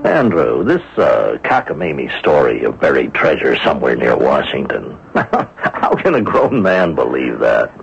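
An actor playing a gruff, skeptical police inspector who grumbles and hesitates in a radio mystery drama. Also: male voice, rumbling low, sing-song, measured pace, hardly any pauses, slight background noise, full character voice. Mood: skeptical, grumbling. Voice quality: gravelly, husky. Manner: grumbling, hesitant with many uhs. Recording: dull lo-fi old radio recording.

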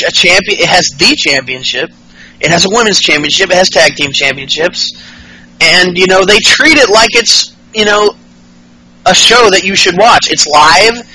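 A man's voice: high-pitched, pushed and bright, poor audio. A man in his late twenties co-hosting a podcast, chatting casually about wrestling, profane and rambling with many restarts. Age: 20s. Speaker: podcast co-host.

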